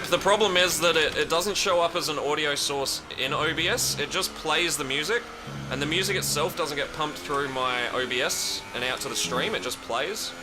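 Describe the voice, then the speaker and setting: Chatty Australian streamer over engine noise. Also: male voice, fairly high pitch. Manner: rambling, chatty, swears casually, answers chat. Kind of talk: casual live-stream chat while racing. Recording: racing game audio behind voice. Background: game engine noise.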